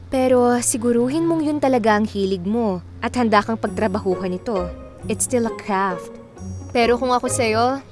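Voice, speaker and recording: An actress playing a kind, modest small-town pediatrician and former teen star in a Filipino radio drama. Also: female voice, a little high, natural voice. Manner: caring, gently advising, playfully exclaiming. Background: background music.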